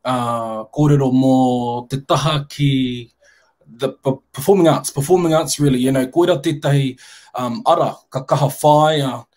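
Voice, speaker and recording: A New Zealand man speaks from home over a video call. His voice sits in a middle range. He talks in a thoughtful, deliberate way. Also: medium pitch, fairly even intonation, a little mellow. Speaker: university staff member, panel guest. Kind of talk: thoughtful discussion, mixing Māori and English.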